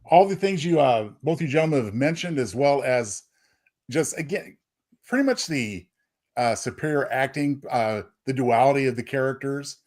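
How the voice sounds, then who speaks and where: A man's voice: medium pitch, expressive, moderate pace, darkish tone. A man co-hosting a podcast, giving casual review commentary. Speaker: podcast co-host.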